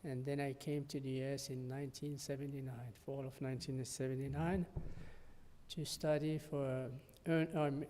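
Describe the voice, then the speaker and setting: A man from Morocco answering interview questions about his background, halting and repeating words. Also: medium pitch, dark tone. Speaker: board applicant. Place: city council chamber. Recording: echoey council chamber.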